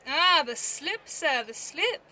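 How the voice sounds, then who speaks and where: A woman's voice: high-pitched, very animated, takes it slowly, soft background music, exaggerated voice. A woman playing a witty, bawdy, teasing young Mercutio in a theatrical Shakespeare audio drama, recorded on a phone at home. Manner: theatrical, teasing, swooping between loud flourishes and mutters.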